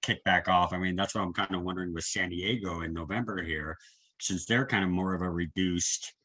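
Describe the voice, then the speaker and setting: A man's voice: low-pitched, steady tone, a little fast, sharp tone, no room sound. A man hosting a casual, chatty interview over a video call. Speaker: interviewer, host. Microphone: video call microphone.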